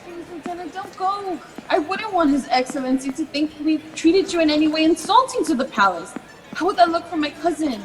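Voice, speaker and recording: Fluttery, gracious hostess aunt played by a woman, high voice, coaxing, radio drama with long reverb and music. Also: animated, a little fast. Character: gracious, flustered society hostess, an anxious aunt.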